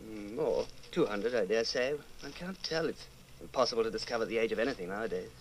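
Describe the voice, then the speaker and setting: An actor playing an earnest, fatalistic secret rebel in an old-time radio drama. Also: male voice, fairly low pitch, fast talker, slight background noise, lightly acted, low-quality recording. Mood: guarded, casual.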